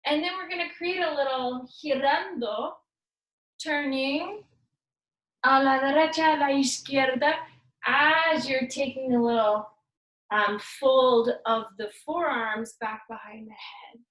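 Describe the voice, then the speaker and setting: High-voiced woman leading a workout. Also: fairly even intonation, unhurried, fairly bright tone. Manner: upbeat, playful cueing mixed with Spanish words. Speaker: fitness instructor. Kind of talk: guided workout cues. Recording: echoey room, voice far from mic.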